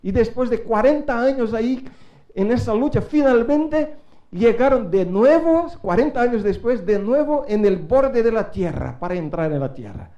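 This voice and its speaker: A middle-aged preacher whose first language is not Spanish delivers a sermon to a church congregation. His voice sits high but has a mellow, dark color. He is animated and swings between soft asides and loud calls for a response, recorded with a slightly muffled sound. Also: moderate pace. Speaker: guest preacher.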